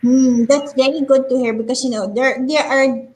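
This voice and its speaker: A woman's voice: high voice, steady tone, moderate pace, a little mellow. A woman hosting a video-call interview, friendly, often saying "you know" and "right?". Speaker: host. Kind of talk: casual interview.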